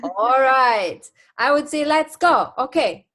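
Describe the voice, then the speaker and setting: A woman's voice: high voice, expressive, takes it slowly, fairly bright tone. A woman hosting a casual chat show and putting quiz questions to a guest. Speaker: talk show host.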